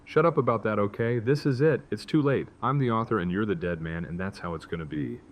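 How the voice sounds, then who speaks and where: An actor playing a blustering villainous gang boss, cornered and desperate, in an audio drama. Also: male voice, on the low side, brisk, close to an everyday voice. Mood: menacing. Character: desperate, blustering male villain henchman leader, cornered and pleading.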